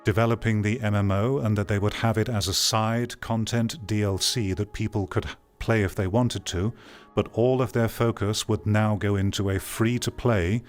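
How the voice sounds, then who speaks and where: A man's voice: fairly low pitch, steady tone, moderate pace, soft background music. A man giving casual reaction commentary in a blunt, exasperated way, repeating phrases for emphasis. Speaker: host giving commentary on game news.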